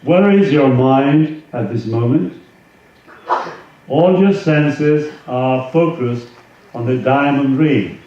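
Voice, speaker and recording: A man gives an informal spiritual talk at an unhurried, slow pace, with halting asides as he reads aloud. His medium-pitched voice rings in a very echoey space, picked up from a little way off the mic. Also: mellow. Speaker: swami, yoga teacher. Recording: roomy echo, distant mic, dull sound, faint noise.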